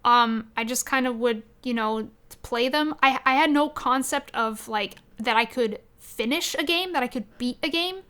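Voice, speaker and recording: A woman's voice: on the high side, moderate pace, few pauses, a bit bright, clear, resonant tone. A woman co-hosting a podcast, talking in a casual, conversational way. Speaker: podcast co-host.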